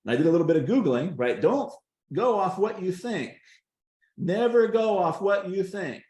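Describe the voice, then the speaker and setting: Folksy coach, emphatic, in a roomy space. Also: male voice, sits high, lively intonation, normal speed, warm tone. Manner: emphatic, folksy, repeats "does that make sense". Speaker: coach hosting a group call. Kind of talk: casual coaching talk.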